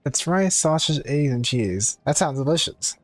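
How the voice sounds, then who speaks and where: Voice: mid-range voice, animated, moderate pace. A streamer chatting casually on a live stream, greeting viewers and reacting offhandedly to the game.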